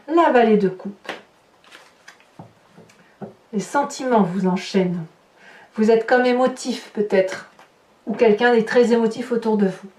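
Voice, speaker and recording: A woman's voice: on the high side, a little mellow, a little hiss or hum. A woman giving an informal tarot reading, talking directly to viewers and thinking aloud with rhetorical questions and little tag words. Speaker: tarot reader hosting a horoscope channel. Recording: roomy echo, distant microphone.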